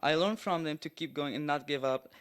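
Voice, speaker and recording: A man's voice: a little high, brisk, fairly bright tone, smooth. A teenage boy giving an earnest, prepared presentation at a school board meeting. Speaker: student presenter.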